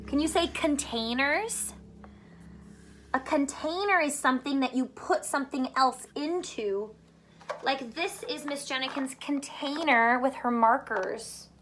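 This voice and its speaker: A woman teacher reading a picture book aloud to young children, pausing to explain simply and ask questions, drawing out words for emphasis. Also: high voice, a little hiss or hum.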